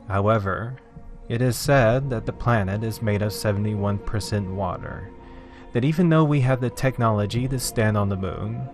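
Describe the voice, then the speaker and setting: A man narrating a scripted horror story in a theatrical, deliberate, dramatic way, with drawn-out phrases. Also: fairly low pitch, a little mellow. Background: background music.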